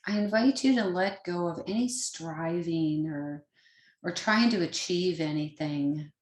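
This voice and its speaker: A woman leading a guided meditation as a teacher, speaking gently in short, unhurried phrases with pauses between them. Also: lowish voice. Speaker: yoga nidra meditation teacher. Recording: roomy, echoing sound.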